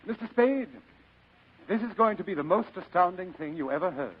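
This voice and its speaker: A muffled old radio recording carries a fat, scheming crime boss. His voice is husky and slightly high, and he savors every phrase with florid, pompous courtesy, like a genteel villain in classic radio drama. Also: animated, brisk. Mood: grand, savoring. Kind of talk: 1940s-style radio acting.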